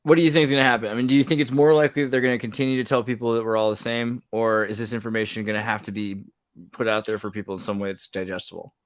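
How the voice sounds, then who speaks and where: A man hosting a podcast, talking casually in an animated, rambling way that often breaks off mid-sentence. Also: fairly low pitch, rapid-fire, no echo, studio quality. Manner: animated, rambling, self-interrupting. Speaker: podcast host. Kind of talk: casual conversational podcast chat.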